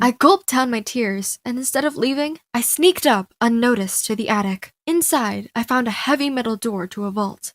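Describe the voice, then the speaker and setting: A woman voicing a feisty, lovestruck young con artist in an animated story fan dub, with theatrical, expressive delivery. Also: on the high side, dry recording, close to an everyday voice. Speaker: amateur fan dubber. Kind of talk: animated story fan dub, first-person narration with dialogue.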